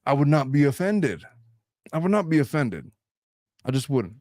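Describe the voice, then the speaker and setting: A man's voice: fairly high pitch, very animated, moderate pace, darkish tone, dry recording, studio quality. A middle-aged man hosting a casual livestream podcast, blunt and profane, reading chat comments aloud. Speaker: podcast host.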